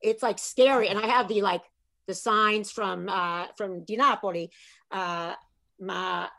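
A middle-aged woman chatting as a guest, animated and talkative, her voice sitting at an ordinary middle pitch. She rattles along casually and drops Italian phrases into her English. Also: measured pace, creaky. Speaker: author guest. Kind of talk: casual video chat.

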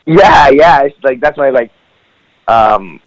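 A man's voice: mid-range voice, lively intonation, slow, dry recording, poor audio. A male wrestler whose first language is Farsi chats loosely as a podcast guest, his mid-range voice wandering through fillers and restarts. The sound is dry and close, with no room around it. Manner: rambling, full of "like" and "um" fillers. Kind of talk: casual podcast interview.

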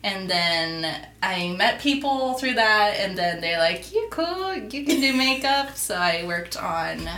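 A woman's voice: mid-range voice, very animated, takes it slowly, few pauses, bright, forceful tone, roomy sound. A twenty-six-year-old woman co-hosting a podcast, telling her story in a casual, self-deprecating way with laughs and fillers. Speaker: podcast co-host. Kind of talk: casual chat.

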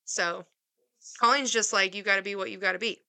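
Smooth, very bright-voiced woman in her twenties. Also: mid-range voice, a little fast. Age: 20s. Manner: earnest, reassuring, speeds up when excited. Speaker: podcast co-host. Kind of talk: casual chat.

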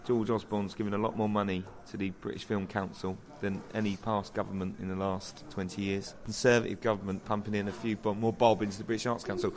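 A man's voice: lowish voice, flat delivery, fast talker, few pauses, a little mellow. A man co-hosting a podcast in casual review chat, with dry, teasing banter. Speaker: podcast co-host. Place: pub. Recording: busy pub crowd noise behind. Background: chatter of pub crowd.

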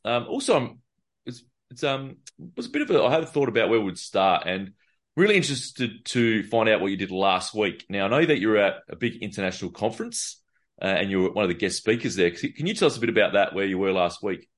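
A man's voice: lowish voice, sing-song, brisk. A man hosting a podcast, asking questions in a hesitant way with many fillers. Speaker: podcast host. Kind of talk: interview conversation.

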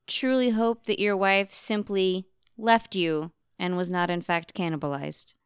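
A woman hosting an improvised comedy podcast with wry, deadpan remarks. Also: mid-range voice, unhurried, smooth, no echo, clean studio sound. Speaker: host.

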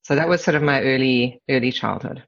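A middle-aged woman with a South African accent, reflecting candidly on personal matters as an interview guest in a measured, conversational way. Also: rumbling low, gravelly. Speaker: podcast guest, lawyer. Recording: slightly dull, lo-fi sound.

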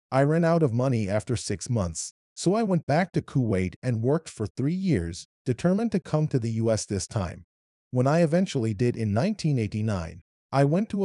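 A man in his fifties from Iran with an Arabic-influenced accent, explaining his career in a measured way as an interview guest. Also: medium pitch, dark tone, no room sound, clean studio sound. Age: 50s. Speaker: interviewee, localization leader. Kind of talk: read interview answers.

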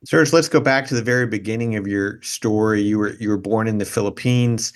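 A man's voice: on the low side, fairly quick. A man hosting an interview, asking long rambling questions with repeated words. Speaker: host.

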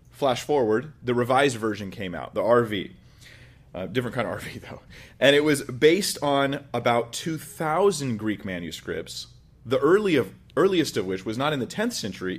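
A middle-aged man who is a pastor and show host, giving a relaxed, conversational Bible teaching with light chuckles and repeated phrases. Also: medium pitch.

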